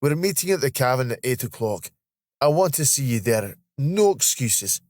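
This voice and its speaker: A man's voice: mid-range voice, sing-song, normal speed, darkish tone, no room sound, pitched above the performer's own voice, close to an everyday voice. A man voicing a playful, teasing Scottish man in his thirties for a storybook.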